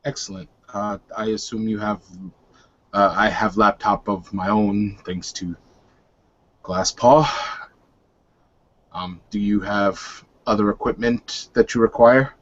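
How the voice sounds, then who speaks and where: A man playing an earnest, courteous werewolf suitor in a tabletop game, with formal, deliberate and slightly halting speech. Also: fairly low pitch, fairly even intonation, dull audio.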